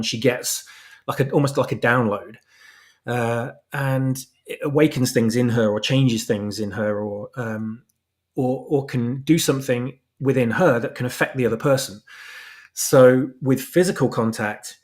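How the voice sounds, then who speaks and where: A man in a casual discussion, explaining things at length as a guest author, often repeating words as he goes. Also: mid-range voice. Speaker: fan fiction author and podcast guest. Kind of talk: casual discussion of story lore.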